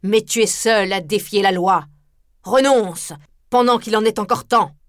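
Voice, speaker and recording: Storybook villain judge, male, cold and severe, slow, played by a woman reader. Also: mid-range voice, no room sound, studio quality, close to an everyday voice.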